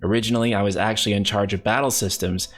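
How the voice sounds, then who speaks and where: A man's voice: fairly low pitch, steady tone, quick pace, a bit bright. A man hosting a casual live-stream chat, upbeat and chuckling, reading out viewer questions. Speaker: host.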